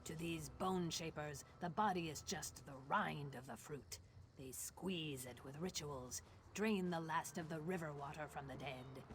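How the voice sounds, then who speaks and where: An actor playing a grim, fierce Argonian lizard-folk mage in a fantasy game, hissing and speaking in solemn, deliberate, formal phrases. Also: male voice, fairly high pitch, natural voice. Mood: grave, explaining. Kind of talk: fantasy video game voice acting. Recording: game audio with music behind.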